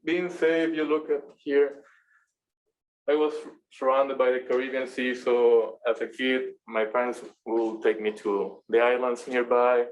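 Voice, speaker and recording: Man, halting class talk. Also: mid-range voice, flat delivery, dull audio, slight background noise. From Venezuela. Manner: halting, searching for words. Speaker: master's student presenter. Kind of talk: class presentation.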